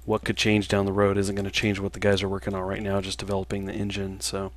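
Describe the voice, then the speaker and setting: A man in his thirties chatting casually on a livestream while he plays, a game developer answering viewer questions in a relaxed, halting way with many fillers. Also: low voice. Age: 30s.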